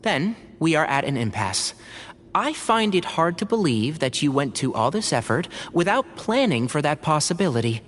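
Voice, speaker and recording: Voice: medium pitch, sing-song, moderate pace, a little hiss or hum, lightly acted. An actor playing a composed, analytical male scholar who speaks in a precise way, in a game cutscene.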